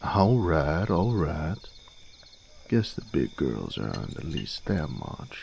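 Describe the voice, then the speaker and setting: A man playing a plain-spoken farm boy turned knight in a fantasy audio drama, gruff but tender, with a folksy rustic drawl. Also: very animated, slow pace, darkish tone, slight background noise, heavily acted. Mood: fond, thoughtful. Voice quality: very deep, gravelly.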